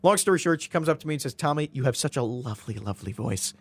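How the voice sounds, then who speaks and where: A man's voice: medium pitch, animated, quick pace, breathy edge, no room sound. A young man hosting a college radio show in a studio, rambling in a self-mocking way and laughing often. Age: young adult. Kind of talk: casual radio chat.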